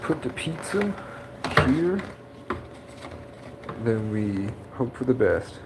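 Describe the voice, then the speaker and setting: A man's voice: mid-range voice, sing-song, slow. Rambling man in his thirties. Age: 30s. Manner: rambling, jokey, slips in and out of silly voices. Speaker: vlogger. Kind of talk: rambling comedic vlog. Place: laundromat, then his car. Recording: loud background noise.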